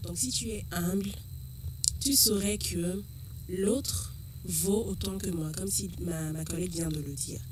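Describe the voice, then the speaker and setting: A woman co-hosting a radio show, soft-spoken and at times almost whispering. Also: fairly low pitch, unhurried, background noise. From Togo. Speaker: radio co-host. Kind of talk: casual radio talk.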